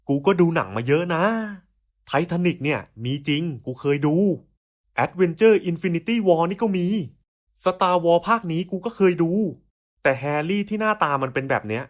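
A man's voice: a little high, expressive, a little slow. A man voicing scripted cartoon puzzle skits in casual, slangy, coarse buddy-style banter.

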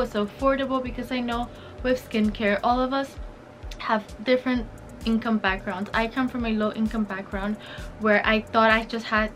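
Upbeat young Dominican woman vlogging. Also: on the high side. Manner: animated, talks with her hands, upbeat. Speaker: fashion and skincare vlogger. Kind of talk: casual chatty product review. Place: bright bedroom.

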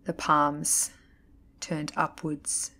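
A woman leading a guided yoga nidra meditation as an instructor, reading calmly and deliberately with measured pauses. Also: low-pitched. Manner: calm, soothing, slow, deliberate pauses. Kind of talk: guided meditation, scripted narration.

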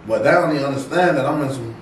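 A man's voice: mid-range voice, a little hiss or hum. Mumbling young man, roomy and distant. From Baltimore. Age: young adult. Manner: mumbled, halting street slang. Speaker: rapper. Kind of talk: casual interview.

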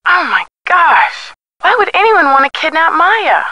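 Perky young female game sidekick, loyal, animated, bright voice, lively swoops, dry sound. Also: very high voice, measured pace, no echo, muffled recording, heavily acted. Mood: shocked. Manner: animated, supportive. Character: perky, loyal, expressive girlfriend of a young detective. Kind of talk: video game voice acting, phone dialogue.

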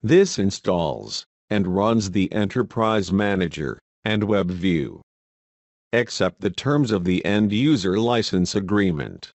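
A man reading a scripted instructional narration in a measured, procedural manner, as a tutorial voice-over. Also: deep voice, animated, a little mellow, no room sound.

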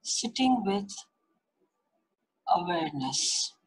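A woman leading a guided meditation, giving gentle, unhurried instructions in short repeated phrases with long pauses. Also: medium pitch, sing-song, husky, low-quality recording. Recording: music behind the voice. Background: meditation music.

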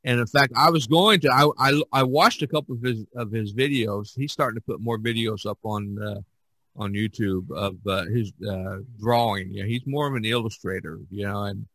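A man hosting a casual podcast chat, speaking haltingly and often repeating his words. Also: on the low side, a bit bright, no room sound. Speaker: podcast host.

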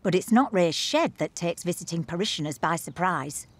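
A woman's voice: fairly low pitch, animated, moderate pace, husky. A woman giving playful, punning scripted narration for a documentary, recorded as a studio voice-over. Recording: music bed under the voice.

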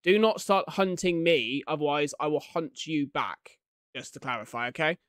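A man's voice: on the high side, expressive, normal speed, dry recording. A man with a British accent giving chatty, casual reaction commentary into a close desktop microphone, with colloquial tag questions. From United Kingdom. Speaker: reaction video host. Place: small indoor room. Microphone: desktop condenser microphone, close. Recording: loud music behind. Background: music from the video being watched.

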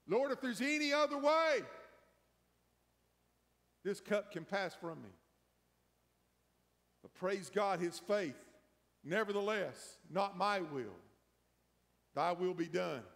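A middle-aged man preaching as pastor, building emphasis through a slow sermon before a hushed prayer in a large church. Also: high-pitched, animated, vocal fry. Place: large church sanctuary, empty pews. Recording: long church hall reverb.

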